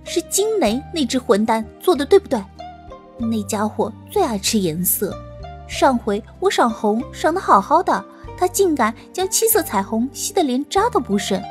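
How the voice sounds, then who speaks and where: A woman's voice: fairly high pitch, big pitch swings, soft background music. A woman voicing a brash, hot-tempered young male beast spirit who talks in eager, impulsive bursts, in an audio drama. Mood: agitated. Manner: impulsive, eager, fast-talking.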